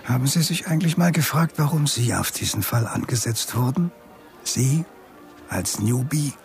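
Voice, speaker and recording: A man's voice: medium pitch, animated, moderate pace, creaky, a little mellow, soft background music. A man playing a smug, condescending middle-aged district politician in a German radio crime drama, patronizing and sardonic. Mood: needling. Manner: patronizing, sardonic, chuckling asides. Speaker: actor playing a district administrator.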